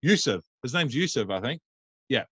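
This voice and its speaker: A man in his thirties hosts a loose podcast chat, teasing his guest with crude, facetious humor. His voice sits at an ordinary middle pitch. The sound is close and dry, with no room around it. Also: very animated, moderate pace, a bit bright, husky, dry recording. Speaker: podcast host.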